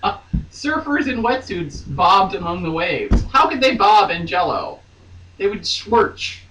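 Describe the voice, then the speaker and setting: A roomy, slightly distant recording with faint noise carries a woman reading fiction aloud. She is theatrical and hammy, swinging widely in pitch, and slips between mock drama and jokey, chatty commentary. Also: very animated, unhurried. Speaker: podcast co-host reading a novel aloud.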